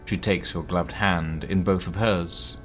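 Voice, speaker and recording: A man streaming a text adventure game, reading its text aloud slowly and dramatically, with playful, animated asides. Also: low-pitched, soft background music.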